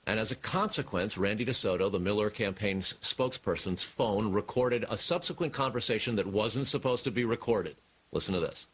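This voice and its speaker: Man, low voice, American accent, live news reporting, deliberate emphatic delivery. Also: a bit bright, breathy edge, poor audio. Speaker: TV news correspondent.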